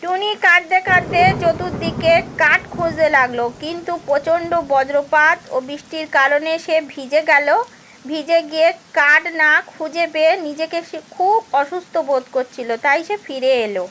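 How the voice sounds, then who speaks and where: A woman's voice: sits high, normal speed, noisy background. A woman reading scripted narration for a cartoon story.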